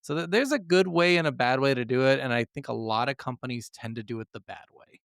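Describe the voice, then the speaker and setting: A man's voice: medium pitch, expressive, fast talker, creaky, a bit bright, clean tone, dry recording, studio quality. A man co-hosting a casual gaming podcast, talking informally and swearing freely. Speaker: podcast co-host.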